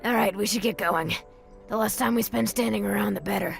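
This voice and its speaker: A woman playing a tough, protective old adventurer with a raspy voice in an audio drama. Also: medium pitch, fairly quick, soft background music. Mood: gruff, fond. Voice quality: raspy, gravelly.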